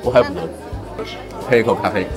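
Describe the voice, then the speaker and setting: A man's voice: lowish voice, a little fast, music in the background, a crowd in the background. A man hosting a food vlog, chatting casually and explaining as he goes. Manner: conversational, explanatory. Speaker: food vlogger, host. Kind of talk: casual on-location food review.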